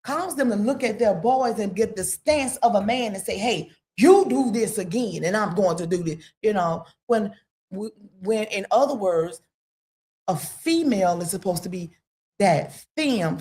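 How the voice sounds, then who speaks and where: A woman in a casual talk-radio discussion, rambling and emphatic, full of "you know" and sudden jumps in pitch. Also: darkish tone.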